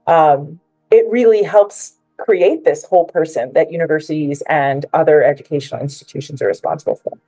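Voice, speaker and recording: A woman's voice: sits low, fairly quick, dark tone, no echo, soft background music, rough recording. A woman giving prepared, persuasive remarks as a panelist at a book presentation, joining remotely.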